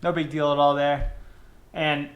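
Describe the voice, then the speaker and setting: A man's voice: a little high, a little slow, dull audio. A young man hosting a casual how-to vlog from his kitchen table, chatty and repeating his words as he goes. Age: young adult. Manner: chatty, repeats words and phrases, lively delivery. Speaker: channel host. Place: kitchen table indoors, home. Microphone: camera across the table.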